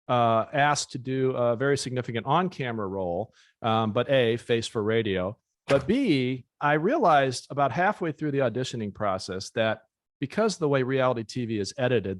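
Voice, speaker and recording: A man's voice: medium pitch, animated, normal speed, no room sound. An American man hosts a casual podcast in a dry, close-sounding recording. He banters in a wry way and presents with a playful showman's streak. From United States. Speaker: podcast host.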